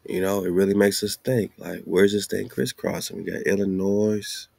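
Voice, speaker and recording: A man's voice: on the low side, little pitch movement, normal speed, gravelly, darkish tone, no echo. A man giving an informal, slangy commentary, asking rhetorical questions and repeating phrases for emphasis. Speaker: host. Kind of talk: informal commentary monologue over on-screen maps and documents.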